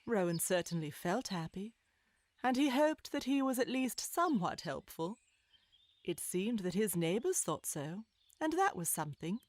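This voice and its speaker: A woman narrator reading a scripted children's story in an expressive, measured storytelling manner. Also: mid-range voice, no room sound. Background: music bed.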